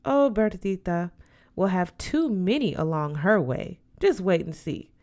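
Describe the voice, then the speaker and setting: A woman's voice: lowish voice, lively intonation, unhurried, darkish tone. Woman voicing a firm, wise older godmother aunt. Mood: teasing. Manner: emphatic, counselling. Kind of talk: audiobook character voice.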